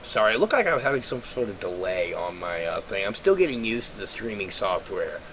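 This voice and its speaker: A man with a raspy, congested voice, talking casually into his webcam and rambling through ideas with many fillers and restarts. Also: fairly low pitch, big pitch swings, a little fast, a bit bright, a little hiss or hum, rough recording. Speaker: vlogger.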